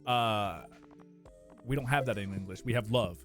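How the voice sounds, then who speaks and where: A young man, chatting casually with his live-stream viewers, animated and prone to squeaky bursts of laughter. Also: moderate pace, faint music behind.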